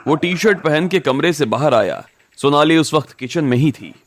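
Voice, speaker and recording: A man's voice: a little high, lively intonation, moderate pace, a little hiss or hum. A man narrating a scripted audio drama story.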